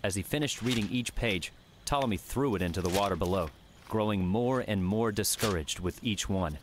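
Man, lowish register, reading fiction narration for an audiobook, slight noise behind. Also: moderate pace. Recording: faint background noise and ambience.

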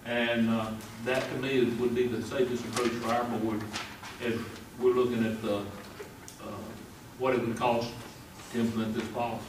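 A middle-aged man gives his view at a board meeting, plain-spoken, with frequent "uh" pauses. His voice carries from across a roomy hall with background noise beneath it. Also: medium pitch, little pitch movement. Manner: plain-spoken, many "uh" fillers. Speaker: school board member. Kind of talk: formal meeting discussion. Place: large meeting room. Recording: echoey room, far from mic, background noise.

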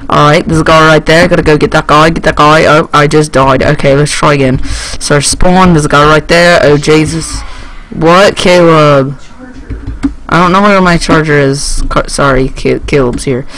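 A teenage male gaming YouTuber giving casual, rambling and excitable gameplay commentary, speaking into a headset indoors. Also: fairly high pitch, a little hiss or hum, low-quality recording.

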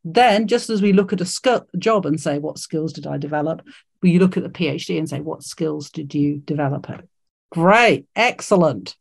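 A woman's voice: deep voice, normal speed, gravelly, husky. A woman hosting an online careers workshop over a video call, encouraging and full of fillers and restarts. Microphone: video call mic.

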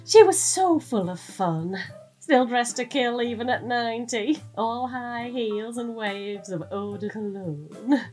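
A British actress playing a chatty middle-aged detective's wife in an audio drama, in natural domestic dialogue. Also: female voice, fairly high pitch, animated, takes it slowly, a little mellow, soft background music. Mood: wistful.

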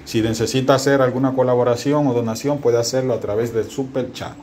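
Man explaining things over clear background noise. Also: mid-range voice, steady tone, fairly quick, darkish tone. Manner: explanatory, addresses the viewer directly. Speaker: host of a DIY antenna channel. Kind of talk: informal tutorial narration. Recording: clearly noisy home-made phone recording.

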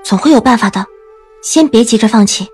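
Gentle young bride-to-be, high voice, coaxing and affectionate, period audio drama, faint music. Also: female voice, animated, a little fast, breathy edge. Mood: caring. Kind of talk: Chinese costume audio drama acting.

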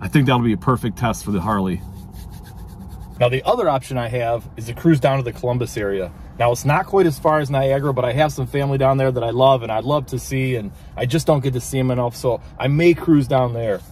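A man's voice: medium pitch, fast talker, a little mellow, a little hiss or hum. A man in his thirties from Ohio, filming himself at arm's length and making self-mocking jokes to the camera. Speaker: vlogger. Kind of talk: casual self-filmed vlog. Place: home garage and parked car. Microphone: handheld camera at arm's length.

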